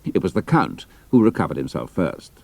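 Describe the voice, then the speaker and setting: A deep-voiced British man narrates an adventure audiobook with precise, expressive phrasing. The voice is mellow and gravelly, recorded close and dry. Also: moderate pace, no echo.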